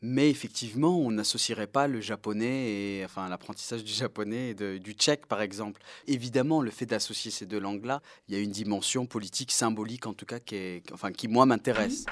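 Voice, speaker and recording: A man's voice: lowish voice, brisk, few pauses. A man talking reflectively in an interview, correcting himself as he searches for the right phrase.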